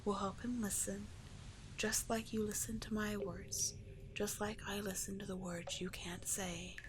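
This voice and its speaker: A woman voicing a seductive, manipulative sea witch in a soft breathy whisper, coaxing and tenderly possessive, in an ASMR roleplay style. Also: mid-range voice, little pitch movement, measured pace, noisy background, lightly acted. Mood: hypnotic, persuasive.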